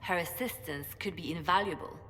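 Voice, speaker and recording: Diplomatic female advisor, fantasy game, formal, measured, lowish pitch, hall echo. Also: sharp tone, slight background noise. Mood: measured, grave. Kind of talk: dramatic fantasy game dialogue. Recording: long hall reverb.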